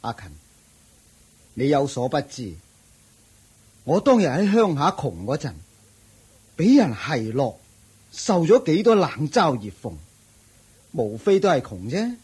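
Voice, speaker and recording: A male actor playing a sly, newly rich man who was once scorned, in a Hong Kong Cantonese radio drama, with a husky voice. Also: fairly high pitch, very animated, a little slow, pauses often, a little mellow, full character voice. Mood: reflective, aggrieved. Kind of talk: Buddhist radio drama acting.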